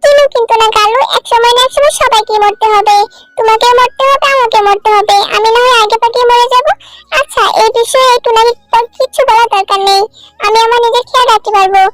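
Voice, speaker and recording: Caring but firm little bird wife, played by a woman, tiny high cartoon voice, insistent delivery. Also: fast, fairly bright tone, no room sound, soft background music. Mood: resigned, insistent.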